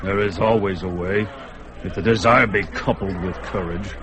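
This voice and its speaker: A man playing a calm young barbarian. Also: sits low, normal speed, over music, close to an everyday voice. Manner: calm, terse, steady. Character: young male barbarian hero, calm and bold. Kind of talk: radio drama acting.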